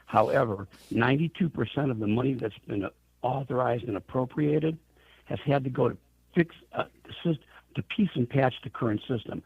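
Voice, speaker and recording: A man's voice: mid-range voice, normal speed, creaky, breathy edge, dry recording. A man speaking as an interview guest on the radio, making his case emphatically with pointed rhetorical questions. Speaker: interview guest, airline trade association chief executive. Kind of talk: radio interview, advocacy answers. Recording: tinny narrow phone line.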